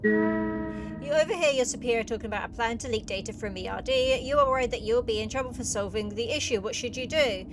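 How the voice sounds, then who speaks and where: A woman's voice: on the high side, fairly quick. A woman giving casual game commentary and reading on-screen text aloud as a video host. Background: clear music.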